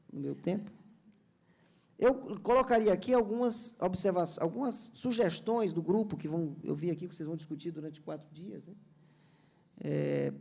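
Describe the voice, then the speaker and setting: Gravelly official from Ceará. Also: male voice, sits high, darkish tone. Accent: Brazilian Portuguese, Ceará. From Ceará, Brazil. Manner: earnest, explanatory, many restarts and fillers. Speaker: government culture official on a panel. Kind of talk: seminar panel speech.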